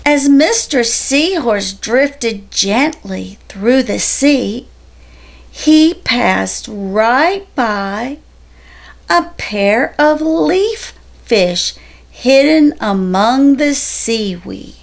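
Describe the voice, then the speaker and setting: A woman reading a children's picture book aloud in a deliberate storytelling manner. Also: on the high side, animated, vocal fry. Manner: slow, deliberate, storytelling delivery. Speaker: storybook reader.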